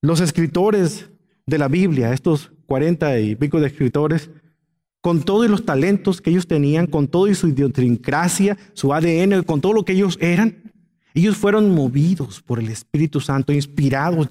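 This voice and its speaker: A man's voice: a little high, moderate pace, warm tone. A man preaching a Bible-teaching sermon as a pastor, emphatic and illustrating his points with everyday examples. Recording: long hall reverb.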